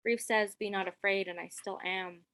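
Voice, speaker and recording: A woman reading her poetry aloud on a video call in a measured, deliberate way. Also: mid-range voice, fairly even intonation, a bit bright. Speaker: poet.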